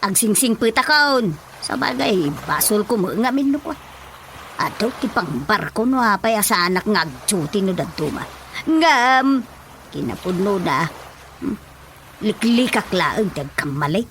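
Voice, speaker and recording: A woman's voice: medium pitch, lively intonation, measured pace, fairly bright tone, slight background noise, poor audio. A woman acting in an Ilocano radio drama as an evasive village councilwoman, hesitant and hedging. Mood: defensive. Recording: faint music behind the voice. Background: light background music.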